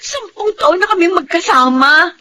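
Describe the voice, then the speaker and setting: Casting: gossipy Filipino best friend, high-pitched, swooping delivery, teasing and frank, faint noise behind. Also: female voice, expressive, normal speed, pushed and bright, full character voice. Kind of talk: Filipino radio drama acting.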